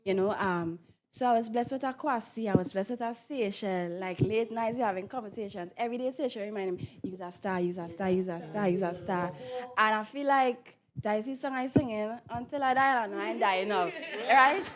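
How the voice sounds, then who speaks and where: A woman's voice: mid-range voice, brisk, a crowd in the background. A woman with a Trinidadian accent talking casually and candidly as an actress being interviewed.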